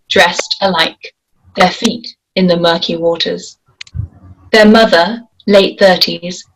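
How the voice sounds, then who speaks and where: A woman's voice: lowish voice, noisy background. A woman reading scripted stage directions as the narrator of a screenplay.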